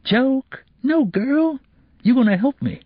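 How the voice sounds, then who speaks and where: Casting: male narrator playing swaggering teen street kid, slangy and bullying, lively pitch swings, slightly husky. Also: fairly quick, no room sound, phone-quality sound. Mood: pushy, threatening. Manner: slangy, pushy, bullying talk. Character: cocky, menacing teenage boy with street swagger, a would-be cheat.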